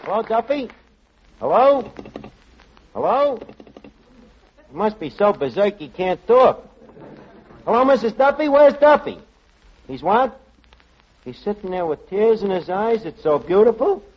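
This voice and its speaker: An actor playing a scheming, wisecracking tavern manager in a 1940s radio comedy. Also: male voice, high voice, very animated, measured pace, pauses often, exaggerated voice. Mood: exasperated. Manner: wisecracking, mangled phrases. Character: fast-talking, scheming tavern manager who mangles his words. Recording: dull, noisy vintage radio recording.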